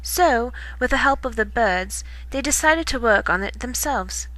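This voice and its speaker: A woman reading a children's bedtime story in expressive storybook narration. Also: on the high side, measured pace, no room sound, rough recording.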